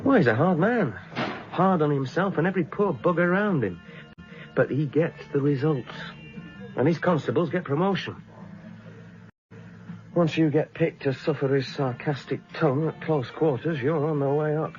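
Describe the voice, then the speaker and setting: An actor playing a worldly, dogged British police detective in a radio drama, asking terse, blunt questions with dry humour. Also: male voice, on the high side, normal speed, highs cut off, soft background music, close to an everyday voice. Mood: resigned, matter-of-fact.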